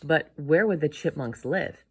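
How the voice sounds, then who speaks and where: A woman voicing an excitable, grumpy male duck uncle in a children's storybook read-aloud. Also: low voice, measured pace, slightly rough, full character voice. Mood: doubtful.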